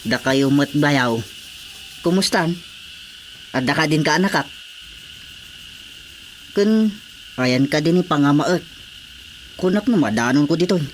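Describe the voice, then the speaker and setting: A woman's voice: sits low, lively intonation, unhurried, long pauses, slight background noise. Woman acting a teasing Ilocano countrywoman. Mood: chatty. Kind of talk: Ilocano radio comedy drama acting.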